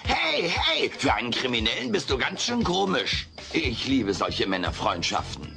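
A man's voice: medium pitch, huge pitch swings, normal speed, gravelly, bright, forceful tone, soft background music, heavily acted. A man playing a brash, mischievous, wisecracking alien creature in a sitcom audio play, cheeky and theatrical. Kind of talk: German-dubbed sitcom audio play acting. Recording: noisy old cassette audio play.

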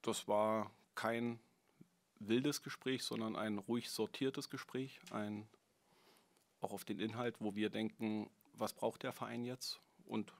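A man as club president, answering a press question slowly and deliberately. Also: on the low side, vocal fry. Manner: slow, calm, deliberate. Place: press conference room.

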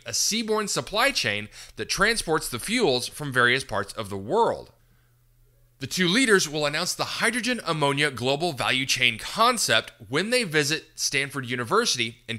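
A man with a very bright, punchy voice, pitched fairly high and moving in lively swings. He presents scripted news commentary as a podcast host, with polished, emphatic delivery.

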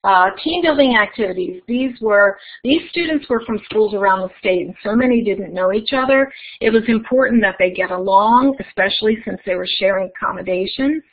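A woman's voice: mid-range voice, a little fast, hardly any pauses. A woman giving a conference keynote as a webinar presentation, friendly and guiding the audience through an interactive activity. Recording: tinny phone-like webinar audio.

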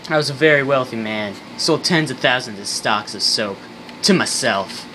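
A man playing a boastful, bitter, soap-obsessed failed tycoon with deadpan delivery and old-fashioned slang in an amateur radio skit. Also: mid-range voice, moderate pace, noisy background, lightly acted.

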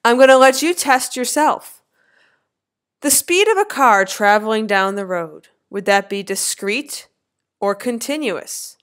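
A woman in her thirties narrating a slideshow lecture as an instructor, with clear, lively teacherly emphasis. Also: on the high side, unhurried. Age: 30s.

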